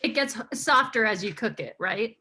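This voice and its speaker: Casual: woman reading out viewer questions, high bright voice, faint hiss. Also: expressive, normal speed. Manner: relays questions plainly and checks with a tag "right?". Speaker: moderator relaying viewer questions. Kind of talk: live online event.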